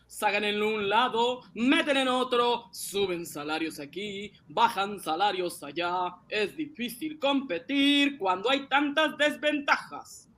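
A woman's voice: medium pitch, slow, fairly bright tone. A woman playing a proud, indignant owner of a small national factory in a radio drama, protesting emphatically. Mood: pleading.

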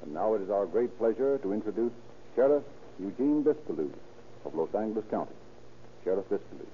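A man's voice: lowish voice, animated, a little fast, noisy background. A man announcing and narrating in scripted 1930s radio style, formal and emphatic. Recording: tinny 1930s radio broadcast.

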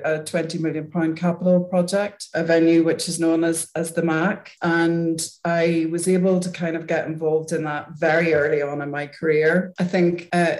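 A woman's voice: sits low, darkish tone. A middle-aged woman with a Northern Irish accent, a guest on a podcast, talking through her career in a thoughtful, rambling way with small restarts. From Northern Ireland. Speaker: podcast guest, arts chief executive. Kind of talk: casual conversational interview. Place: home, recorded remotely. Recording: echoey home room over remote call.

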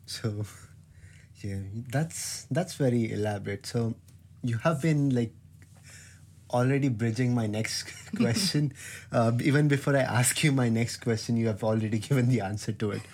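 A man hosting a casual interview, friendly and hesitant, often laughing softly as he asks his questions. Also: mid-range voice, normal speed.

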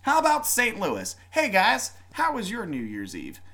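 A man co-hosts a casual podcast, rambling from one joke to the next. His pitch swings widely as he talks. He slips into quick put-on voices for bits. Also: wildly swooping pitch, sharp tone. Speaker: podcast co-host. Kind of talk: casual chat.

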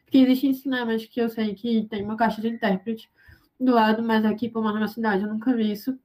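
Young Brazilian woman, hesitant and fairly high. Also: steady tone, quick pace, mellow, poor audio. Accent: Brazilian Portuguese. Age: young adult. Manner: hesitant, frequent fillers and restarts. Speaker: interviewee, university student. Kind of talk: conversational interview answers.

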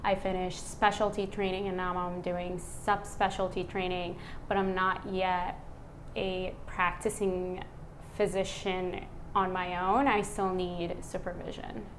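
A woman in her thirties from Iraq, a physician speaking as a podcast guest. She talks in a relaxed, reflective way, with many "um" and "like" fillers. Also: lowish voice, unhurried, a little hiss or hum, rough recording. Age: 30s. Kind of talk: casual conversational interview.

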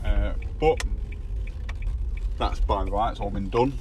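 A man's voice: on the low side, a little slow, a little mellow. A man hosting a casual podcast chat while driving, jokey and rambling, poking fun at himself. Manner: jokey, rambling, self-mocking. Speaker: podcast host. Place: inside a car. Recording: car noise behind.

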